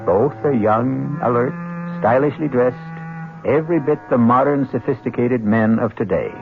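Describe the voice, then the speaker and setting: An actor as a wry narrator introducing an old-time radio mystery, theatrical and deliberate. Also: male voice, medium pitch, vocal fry, music in the background, close to an everyday voice. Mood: wry, ominous. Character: wry, dramatic male storyteller introducing a tale. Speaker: narrator and host. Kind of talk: scripted radio drama narration. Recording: tinny, noisy old radio recording with hum.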